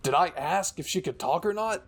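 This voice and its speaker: A man's voice: sits high, expressive, normal speed, faint music behind. A man voicing a stern, intimidating military commander in a fan-fiction reading, demanding and menacing. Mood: demanding, angry. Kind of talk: dramatic audiobook fan-fiction acting.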